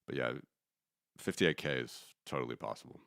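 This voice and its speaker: Very deep-voiced man, rambling commentary. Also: normal speed, vocal fry, fairly bright tone, no room sound, studio quality. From United States. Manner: rambling, restarts, thinks aloud, opinionated. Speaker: podcast host. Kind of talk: casual economics commentary.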